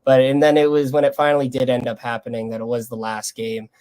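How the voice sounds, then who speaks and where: A man's voice: medium pitch, completely flat delivery, fast, a little mellow, clear, resonant tone. Young Canadian man, earnest and conversational. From Lethbridge, Canada. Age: young adult. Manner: earnest, loose, conversational phrasing. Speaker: college baseball player being interviewed. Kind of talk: casual interview answers.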